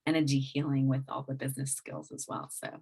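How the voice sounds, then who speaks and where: Low-voiced woman with a mixed accent. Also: big pitch swings, quick pace, warm tone, slightly rough. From England. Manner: rambling with many restarts and fillers. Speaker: guest business coach. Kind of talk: casual interview talk.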